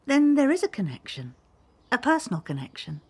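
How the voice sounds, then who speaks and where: An actress playing a talkative, prim and proud small-town historian with an English accent and quaint phrasing, in a radio drama. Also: female voice, high voice, very animated, a little fast, background noise. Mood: welcoming. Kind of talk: naturalistic radio drama acting.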